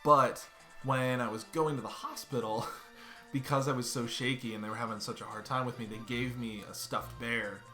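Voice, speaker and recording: A man's voice: mid-range voice, steady tone, normal speed, pushed and bright, faint music behind. A man in his thirties streaming a game from home, chatting loosely and swearing casually as he plays. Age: 30s. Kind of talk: casual let's-play commentary. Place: home room.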